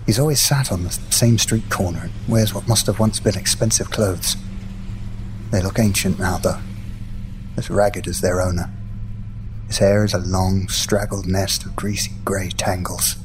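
A man's voice: deep voice, steady tone, moderate pace, background noise, natural voice. An actor playing a curious, sympathetic man who narrates a gothic tale in an audio drama. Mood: pitying, reflective.

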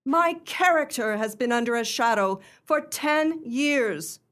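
A woman's voice: fairly high pitch, lively intonation, measured pace, fairly bright tone. A woman playing a wronged countess in a radio play, speaking with formal, earnest dignity. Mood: proud, resolute.

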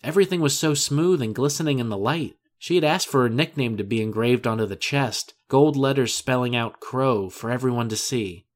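Mid-pitched male story narrator. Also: brisk, few pauses. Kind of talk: scripted audio drama narration.

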